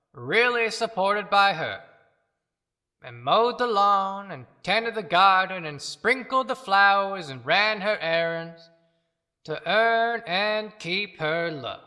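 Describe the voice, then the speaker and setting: A woman's voice: mid-range voice, expressive, slow, bright, forceful tone. A woman voicing a resentful, overlooked small-town husband in a theatrical audio play monologue, with long reverb.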